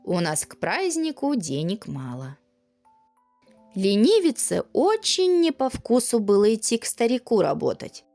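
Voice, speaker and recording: A woman narrating a children's fairy tale in an expressive, singsong storytelling manner. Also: medium pitch, unhurried. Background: music.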